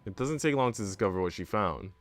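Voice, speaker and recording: Low-voiced man narrating a story. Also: animated, quick pace. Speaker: streamer reading a visual novel aloud. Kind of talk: first-person story narration.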